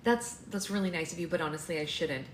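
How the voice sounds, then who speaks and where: A woman's voice: on the low side, fast, natural voice. A woman voicing a tired, frustrated young female character in a story reading, curt and a little awkward. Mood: awkward, hesitant. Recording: roomy echo, faint background noise.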